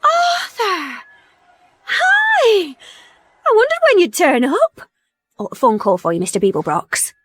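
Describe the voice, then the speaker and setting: Spirited young British woman, high, very animated, direct and excitable, dry sound, radio comedy. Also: unhurried, long pauses, no room sound, exaggerated voice. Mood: excited. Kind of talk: radio comedy drama acting.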